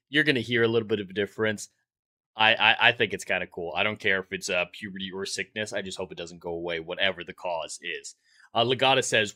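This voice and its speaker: Deep, bright-voiced male livestream host. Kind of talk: livestream monologue, chatting with viewers.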